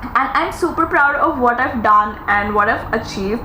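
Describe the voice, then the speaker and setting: A woman in her thirties hosting a podcast, talking through her thoughts in a casual, earnest monologue and often slipping into animated quoted asides. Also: a little high, moderate pace. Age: 30s. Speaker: podcast host. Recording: echoey room with faint noise.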